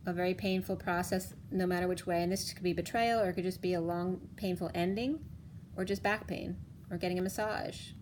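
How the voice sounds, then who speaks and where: A woman reading tarot cards for viewers in a casual, rambling way, her voice going hoarse. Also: lowish voice, steady tone, darkish tone, a little hiss or hum. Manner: rambling, halting restarts. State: losing her voice. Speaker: tarot reader. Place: home room, table of cards. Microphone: camera on the cards, speaker off screen.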